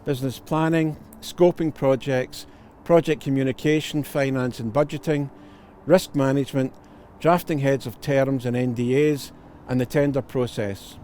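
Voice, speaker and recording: A man's voice: medium pitch, steady tone, moderate pace, darkish tone, a little hiss or hum. A man, a solicitor and tutor, giving a scripted promotional testimonial with formal, careful phrasing.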